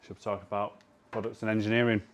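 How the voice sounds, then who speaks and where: A man hosting a live event, briskly and casually introducing the first speaker. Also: lowish voice, little pitch movement. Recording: noisy room with a crowd.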